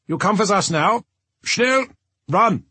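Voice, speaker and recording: A man's voice: sits high, expressive, slow pace, sharp tone, slightly rough, no echo, pitched above the performer's own voice, heavily acted. A man voicing a curt, commanding German soldier in an audiobook, barking clipped orders. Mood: curt, threatening.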